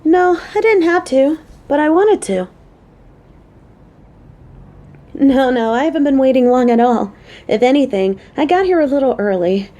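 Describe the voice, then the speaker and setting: Woman acting a playful, motherly coworker with mellow voice. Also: high voice, lively intonation, normal speed. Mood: warm, eager.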